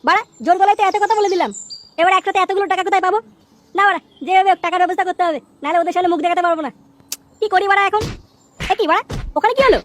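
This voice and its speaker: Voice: extremely high pitch, fairly even intonation, rapid-fire, fairly bright tone. A performer voicing a brash, bossy, foul-mouthed cartoon character in a comic dub, swaggering and scolding. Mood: scheming, excited. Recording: music behind the voice.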